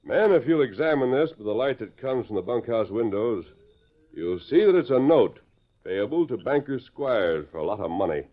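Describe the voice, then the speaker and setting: A man acting a steady, authoritative masked cowboy hero in a 1940s American radio western. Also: mid-range voice. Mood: commanding, assured. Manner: authoritative, measured, declarative. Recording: muffled, narrow-band 1940s radio recording.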